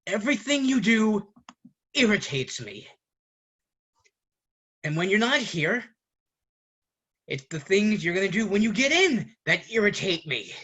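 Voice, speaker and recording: A man playing an exasperated roommate ranting at his fussy friend in a deliberate theatrical monologue with dramatic pauses. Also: high-pitched, sing-song, a bit bright, dull audio, full character voice. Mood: exasperated, irritated. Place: online video call performance.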